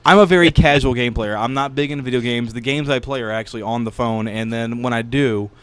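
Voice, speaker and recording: A man with an average-pitched voice chats informally on a podcast. He is recorded close up in a small studio and talks as one of the co-hosts. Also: fast talker, fairly bright tone, clean tone. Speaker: podcast co-host. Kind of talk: casual podcast chat. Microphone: close podcast microphone.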